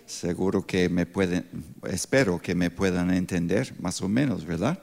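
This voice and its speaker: A man in his sixties with an American accent, a non-native speaker with English as first language, preaching informally as a missionary in halting speech with grammatical slips. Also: sits low, steady tone, creaky, darkish tone. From Pittsburgh, United States. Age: 60s. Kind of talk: sermon with informal introduction.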